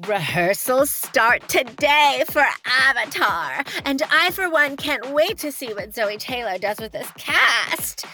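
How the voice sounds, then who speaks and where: An actress playing an insinuating gossip commentator in a comedy. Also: female voice, sits high, very animated, slow, hardly any pauses, bright, forceful tone, faint music behind, heavily acted. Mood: catty. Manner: drawling, insinuating. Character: catty woman gossip columnist.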